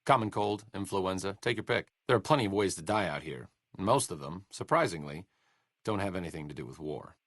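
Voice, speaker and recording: Casting: a wry, educated young game scientist, low voice, lively melody, dry studio sound. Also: male voice, a little fast, dry recording, full character voice. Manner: dry sardonic wit, educated phrasing. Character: wry, learned male scientist companion, dry wit. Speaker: game companion voice lines. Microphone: close studio mic.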